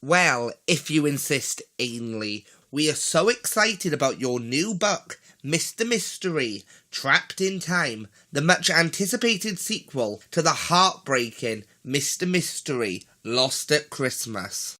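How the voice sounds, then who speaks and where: A man's voice: fairly high pitch, very animated, slow pace, few pauses, sharp tone, exaggerated voice. A man playing a camp, cheeky TV chat-show host in an audio drama, with an effusive showbiz delivery. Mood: gushing.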